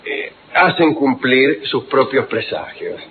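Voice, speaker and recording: A man hosting radio, telling ironic, digressive stories with quick asides. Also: medium pitch, very animated. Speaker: radio host. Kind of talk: humorous radio talk. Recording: lo-fi phone-like archive radio with noise.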